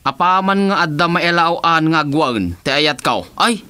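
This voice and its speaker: An actor playing an excitable, pleading young nephew eager to marry, in an Ilocano radio comedy drama, with an Ilocano accent. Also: male voice, a little high, measured pace, smooth, no echo.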